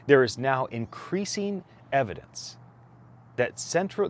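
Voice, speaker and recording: Male narrator for a read-aloud formal paper, mid-range voice, very varied intonation, deliberate enunciation. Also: medium pitch.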